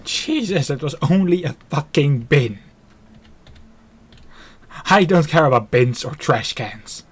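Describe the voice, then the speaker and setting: A man's voice: a little high, lively intonation, slow, breathy edge. A man giving casual live commentary as he streams a game.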